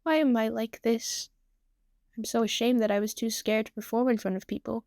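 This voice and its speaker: A woman's voice: a little high, fairly even intonation, normal speed, no room sound, studio quality, natural voice. A woman voicing a shy, self-blaming teenage girl in a story reading, hesitant and prone to stammering. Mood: ashamed. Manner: hesitant, stammering, soft-hearted.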